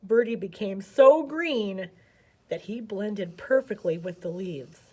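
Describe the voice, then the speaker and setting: A woman reading a picture book aloud as a children's storyteller, with animated, dramatic emphasis. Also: medium pitch, slow pace, slightly rough.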